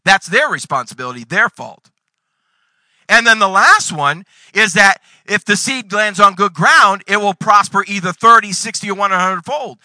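A man in his forties preaching a sermon, reading scripture and breaking into sudden loud bursts. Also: sits high, expressive, normal speed, no echo. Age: 40s. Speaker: pastor.

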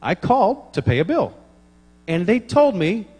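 A man's voice: high-pitched, very animated, a little slow, a little mellow, husky, in a large hall. A man preaching a sermon in church, telling funny stories and acting out the dialogue, laughing at his own jokes. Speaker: pastor.

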